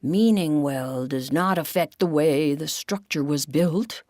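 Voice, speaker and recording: A woman's voice: low-pitched, unhurried, a little mellow, no room sound, studio quality. A woman voicing Giddle, a proud and earnest male pack rat, speaking firmly and insistently.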